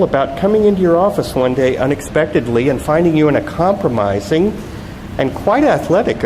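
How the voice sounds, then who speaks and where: A man reading the part of a bright, sly, mock-polite woman television reporter in a novel. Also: fairly high pitch, pitched above the performer's own voice. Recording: echoey hall, loud background noise.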